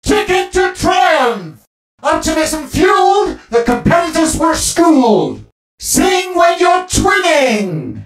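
High chirpy little robot ticket guy, super eager rule nerd, swoops all over, game voice. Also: huge pitch swings, takes it slowly, reverberant, distant mic, music in the background, full character voice. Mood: upbeat, eager. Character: cheerful, rule-obsessed robot ticket attendant, eager and optimistic. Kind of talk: video game character voice lines.

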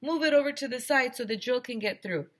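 A woman's voice: high-pitched, expressive, a little fast, fairly bright tone, voice pushed up. A woman voicing an eager grown man, a handyman to the rescue, in a children's storybook reading. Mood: urging, upbeat.

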